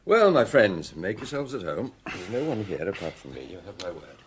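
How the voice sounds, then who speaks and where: Casting: wry, probing middle-aged detective, British accent, husky, very animated delivery, radio drama. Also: male voice, sits low, heavily acted. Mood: sly, commanding. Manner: measured, probing, quietly wry. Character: shrewd, avuncular French police detective, middle-aged man.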